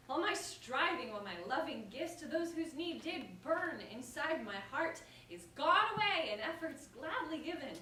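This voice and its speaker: Roomy distant recording, woman playing proud wounded heroine, sardonic, highish voice, declaimed stage verse. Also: sharp tone, slight background noise. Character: witty, proud, wounded young noblewoman bride. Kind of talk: staged reading of verse comedy.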